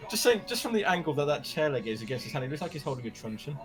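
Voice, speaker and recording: A man's voice: a little high, big pitch swings, quick pace. A man streaming a visual novel, reading character dialogue casually with laid-back joking asides. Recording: clear game music behind.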